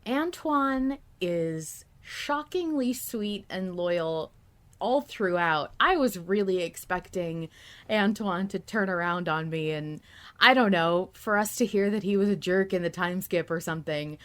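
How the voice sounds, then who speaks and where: A woman co-hosting a podcast, chatting casually and enthusiastically about a story as a fan, with an expressive, giggly manner. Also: fairly low pitch, a little slow. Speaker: podcast co-host. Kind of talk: casual fan discussion of an audio drama.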